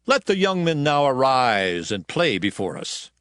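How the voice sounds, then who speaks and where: A man's voice: medium pitch, sing-song, a little slow, a bit bright, clear, resonant tone, no echo, studio quality. A man playing a grave, urgent captain of an ancient Israelite army, declaiming archaic lines in a dramatized scripture audiobook. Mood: commanding. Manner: declamatory, solemn archaic phrasing.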